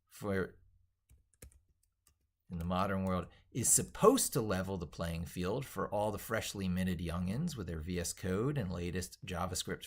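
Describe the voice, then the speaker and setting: A middle-aged man reading his own essay aloud as he works on it, in a theatrical, wordy, emphatic way. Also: deep voice. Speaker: tech educator and video host.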